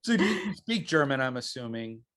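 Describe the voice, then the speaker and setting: Brief: American male host, mid-pitched, chatty, self-deprecating, casual interview over a call. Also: sing-song, moderate pace, a bit bright. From United States. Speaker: podcast host.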